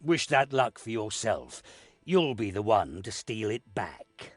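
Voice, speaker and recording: An actor playing a stern, authoritative lord of a castle in a video game, husky and deliberate. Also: male voice, lowish voice, very animated, dry recording, faint music behind, exaggerated voice. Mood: commanding, urgent. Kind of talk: video game cutscene acting.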